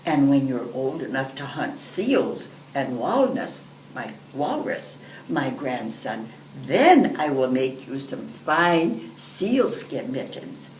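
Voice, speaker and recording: A woman's voice: very deep voice, very animated, darkish tone, voice pushed down, heavily acted. An elderly woman voicing a kindly, reassuring old grandmother in a storybook. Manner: reassuring, unhurried. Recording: roomy, distant microphone, background hiss.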